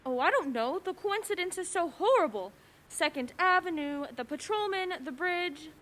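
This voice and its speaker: Woman doing a haughty fretful bedridden lady for a radio play, high voice, really animated and pushy. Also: normal speed. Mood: anxious, shaken. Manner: haughty, agitated, insistent. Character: fretful, imperious bedridden wealthy wife. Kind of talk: suspense radio play acting.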